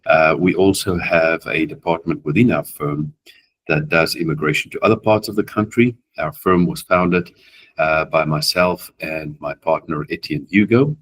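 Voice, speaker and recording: A man, an attorney whose first language is Afrikaans, hosting a legal webinar and speaking haltingly with many fillers. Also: a bass voice, a bit bright. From South Africa. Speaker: immigration attorney, webinar host. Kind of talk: webinar presentation.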